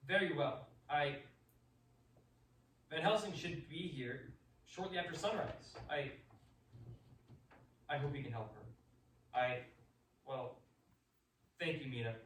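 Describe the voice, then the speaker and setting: A man playing a harried asylum doctor in a radio play, formal and halting. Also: on the high side, a bit bright. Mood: weary, apologetic. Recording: echoey room, distant mic, faint noise.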